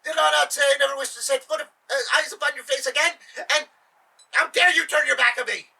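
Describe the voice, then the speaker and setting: A man voicing a pompous, furious sultan who shouts his commands, recorded from a distance. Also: high voice, big pitch swings, measured pace, slightly rough, heavily acted. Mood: raging. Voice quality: shouting, imperious.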